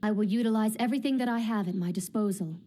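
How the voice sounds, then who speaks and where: A woman playing a dutiful young female knight in an English anime dub, speaking formally and solemnly with measured words. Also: medium pitch, lightly acted. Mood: resolute. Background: loud music.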